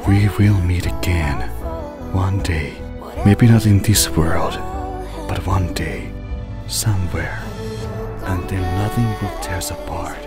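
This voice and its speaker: A man playing a gentle, encouraging lost loved one in an animated drama, speaking in a soothing, reassuring manner. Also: low voice, slow pace, pauses often, over music. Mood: tender, reassuring. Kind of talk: animated-series voice acting.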